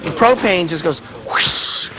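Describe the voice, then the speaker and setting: A man directing a film, animatedly pitching ideas and giving directions in casual behind-the-scenes talk. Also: animated, slow. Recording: noisy on-set location audio.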